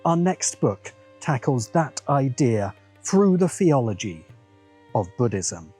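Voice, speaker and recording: A man hosting and narrating a scripted video essay in a measured way, with wry asides. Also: medium pitch, sing-song, dark tone, husky, soft background music. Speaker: host.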